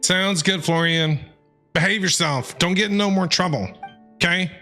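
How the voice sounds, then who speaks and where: A male game streamer gives casual running commentary. His voice is high and bright with very lively pitch movement, chatty in tone, over faint game music. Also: normal speed. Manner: chatty, reacts aloud.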